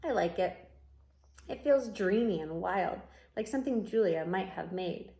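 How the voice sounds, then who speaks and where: A woman reading a storybook aloud like a teacher, expressive and adding comments for young listeners. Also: medium pitch, unhurried, creaky. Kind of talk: read-aloud storybook narration with asides. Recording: roomy, echoing room sound.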